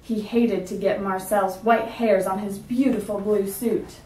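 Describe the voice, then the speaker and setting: A woman teacher reading a picture book aloud in a clear, measured storytelling manner. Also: medium pitch, fairly even intonation, a little mellow, a little hiss or hum. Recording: roomy sound from a distance.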